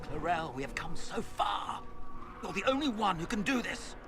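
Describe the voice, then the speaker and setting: Manipulative male mage, very high voice, theatrical, smug, fantasy game villain, music behind. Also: very animated, moderate pace. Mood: coaxing. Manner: theatrical, smugly persuasive. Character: scheming, manipulative male mage urging on a blood ritual. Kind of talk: dramatic fantasy game acting.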